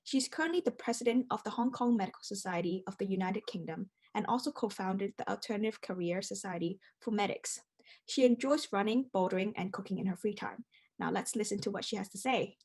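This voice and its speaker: A woman's voice: medium pitch, fairly quick, a little mellow, husky. A woman moderator reading a speaker introduction in an upbeat tone.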